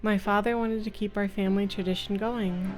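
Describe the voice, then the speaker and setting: A woman's voice: medium pitch, steady tone, a little fast, faint music behind, natural voice. A woman voicing a placid, sentimental woman gravedigger in a story game, mild and matter-of-fact. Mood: placid, wistful.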